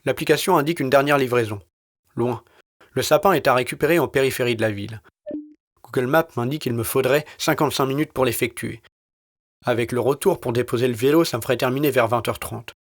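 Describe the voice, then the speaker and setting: A man's voice: mid-range voice, quick pace. Terse, wry male audiobook narrator. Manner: terse, weary, wry, colloquial with swearing. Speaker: narrator and author of an audio short story. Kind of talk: scripted first-person audiobook narration.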